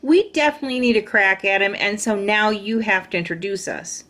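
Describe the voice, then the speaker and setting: A woman voicing an eager, excitable little schoolgirl who squeals her lines. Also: medium pitch, brisk, natural voice. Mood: excited.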